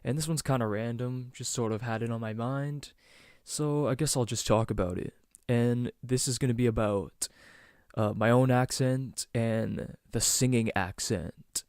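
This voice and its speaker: A man's voice: mid-range voice, a little slow, darkish tone, clear, resonant tone, no room sound, clean studio sound. A young man from Southern Ontario with a Toronto-area Canadian accent, hosting a casual solo podcast and rambling with many ums and restarts. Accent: Canadian, Greater Toronto Area. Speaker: podcast host.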